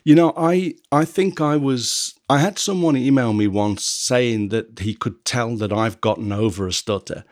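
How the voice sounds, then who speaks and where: A man's voice: medium pitch, no echo. A man talks with an old friend in a loose, unscripted conversation. His voice sits in an ordinary middle range, and he often stops and restarts while hunting for the right word. The recording is close and dry, with no room sound around him. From England. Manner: halting, often pausing to search for words. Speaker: podcast host. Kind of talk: casual conversation.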